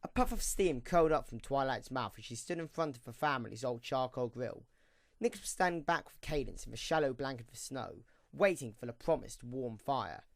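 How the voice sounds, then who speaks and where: A man's voice: medium pitch, expressive, moderate pace, vocal fry, no echo. Dry, close-recorded male story narrator.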